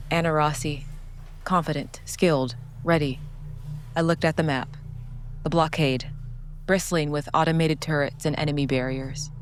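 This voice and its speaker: A woman giving scripted, dramatic narration as a storyteller, solemn and measured in short phrases. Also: deep voice, a little hiss or hum. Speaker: narrator and solo game player.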